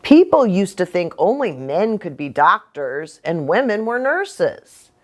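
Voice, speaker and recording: A woman's voice: on the low side, sing-song, a little slow. An American woman preacher explaining things simply to children as a story-time host.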